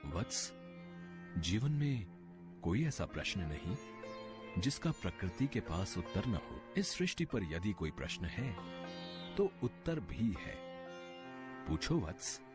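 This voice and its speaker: Serene sage for a moral tale, male actor; deep, measured, unhurried delivery. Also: very animated, heavily acted. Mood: calm, solemn. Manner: slow, measured, solemn. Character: serene wise sage teaching a troubled disciple. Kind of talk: devotional moral story dramatization. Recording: music behind the voice.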